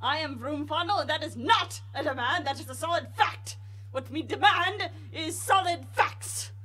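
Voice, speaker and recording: A woman's voice: high voice, normal speed, sharp tone. A woman playing a strident, muddled philosopher in a comic radio drama, declaring absurd demands with great emphasis. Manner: emphatic, self-contradicting declarations.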